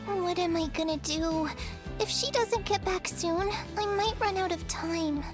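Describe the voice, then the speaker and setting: Young boy, very high voice, sincere, slightly anxious, faint music behind. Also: unhurried. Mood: worried. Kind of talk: game voice acting.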